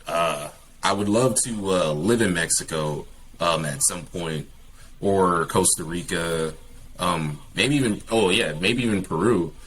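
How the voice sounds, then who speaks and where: A man's voice: low-pitched, unhurried, fairly bright tone, a little hiss or hum. A young man from California, a guest English teacher, talking casually in an interview with many fillers and restarts.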